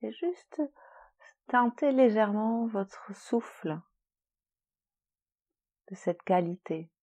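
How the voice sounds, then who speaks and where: A woman leading a guided relaxation meditation in a soothing, gentle, unhurried manner with long pauses. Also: medium pitch, lively intonation, lo-fi sound. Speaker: yoga nidra relaxation guide.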